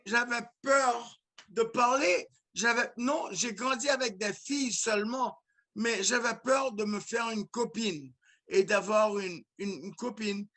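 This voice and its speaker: Slow, earnest Haitian man, high voice. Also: sharp tone. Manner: earnest, restarts and repeats words. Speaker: musician guest. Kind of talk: casual conversation.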